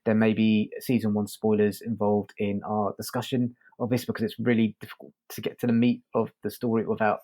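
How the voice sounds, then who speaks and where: A man's voice: on the low side, level tone, fairly quick, hardly any pauses, dark tone. A man hosting a podcast, talking through a review in a casual, hesitant, circling way.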